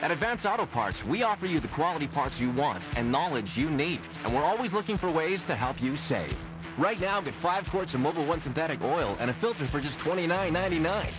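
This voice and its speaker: A man's voice: fairly high pitch, very animated, a little fast, rough recording. A man reading a scripted retail radio commercial. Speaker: commercial announcer. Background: music.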